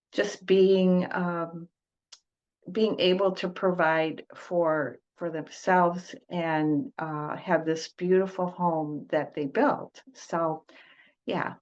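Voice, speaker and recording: An older woman from Detroit, a guest in an interview, talking in a slow, halting way with many fillers and restarts. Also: lowish voice. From Detroit, United States. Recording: dull lo-fi audio.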